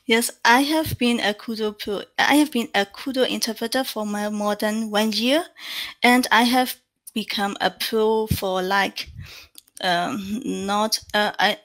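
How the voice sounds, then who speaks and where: A woman's voice: medium pitch, steady tone, a little slow, fairly bright tone. A woman in her thirties from Myanmar with a Burmese accent, answering interview questions over a video call with many fillers and restarts. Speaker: interpreter guest.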